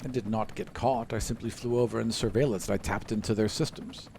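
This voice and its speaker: A man voicing a stern armored dwarf warden in a tabletop game, speaking in measured, terse, matter-of-fact lines. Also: lowish voice, fairly even intonation, gravelly, darkish tone, slight background noise, pitched below the performer's own voice.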